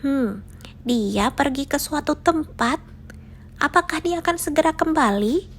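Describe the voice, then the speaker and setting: A woman's voice: high-pitched, lively intonation, unhurried, slight background noise, voice pushed up. A woman voicing a small, timid, polite mouse in a children's storybook tale. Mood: curious. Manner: frightened, polite, pleading.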